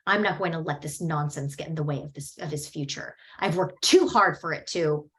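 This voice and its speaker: Lady reading as a harsh uptight dad in his forties, lowish voice, cold and bossy. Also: fairly quick. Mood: harsh, commanding. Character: uptight stern father in his forties, domineering.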